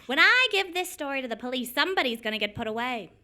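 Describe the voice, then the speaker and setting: A woman's voice: high-pitched, animated, fast talker, sharp tone, a little hiss or hum, heavily acted. A woman playing a scatterbrained, cheerful wife turned amateur detective in the style of 1940s radio comedy, earnest and confidently illogical. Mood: proud, earnest.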